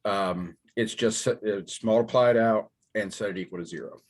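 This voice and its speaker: Deep hoarse guy teaching math, raspy from a sore throat, lots of ums. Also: moderate pace. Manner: step-by-step, frequent ums and throat clearing. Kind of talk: classroom lecture walkthrough.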